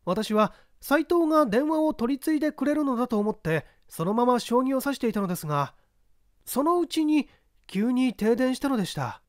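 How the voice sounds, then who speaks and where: A man's voice: extremely high pitch, big pitch swings, moderate pace, dry recording, studio quality, pitched above the performer's own voice. A man voicing a dutiful, polite office clerk giving careful testimony in an audiobook reading.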